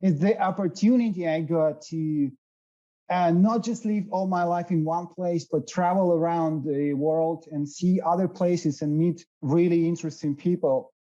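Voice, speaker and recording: Man, 30s, halting, mellow, dull lo-fi remote sound. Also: on the high side, steady tone. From Russia. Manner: halting, searching for words, laughs easily. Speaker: guest, SEO director. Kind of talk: casual interview.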